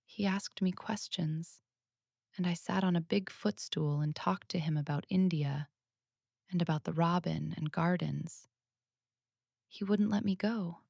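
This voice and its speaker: A woman voicing an earnest, matter-of-fact little girl who speaks softly but firmly, in a storybook reading. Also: on the low side, a little slow, gravelly, dry recording, studio quality.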